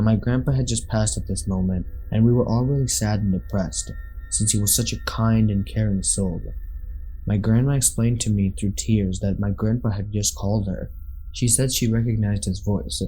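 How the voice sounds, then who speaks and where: A man's voice: on the low side, mellow, a little hiss or hum, poor audio. A man reading scripted first-person accounts of scary true stories as a narrator.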